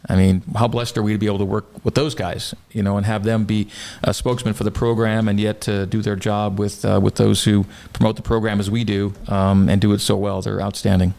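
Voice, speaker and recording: A man's voice: lowish voice, little pitch movement, brisk, gravelly, hardly any pauses, darkish tone. A man talking sports casually as a studio guest, repeating short phrases for emphasis. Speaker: sports radio play-by-play announcer, studio guest.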